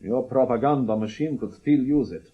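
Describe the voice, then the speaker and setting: A man acting in a radio drama as a wary, probing Soviet KGB major, speaking formally and deliberately in clipped phrases. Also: medium pitch, dull audio, natural voice. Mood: cynical.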